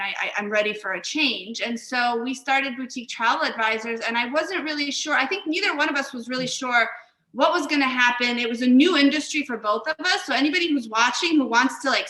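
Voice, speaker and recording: A woman's voice: a little high, pushed and bright, roomy sound, poor audio. A woman guest and business co-founder, born in Uzbekistan and raised in Chicago, telling her story earnestly. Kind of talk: interview storytelling.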